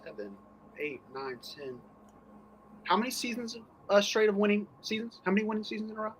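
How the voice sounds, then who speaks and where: A man's voice: sits high, lively intonation, a little fast, a little hiss or hum, low-quality recording. A man co-hosting a sports livestream in casual, slangy, protesting banter. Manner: slangy, protesting banter, says bro and dude.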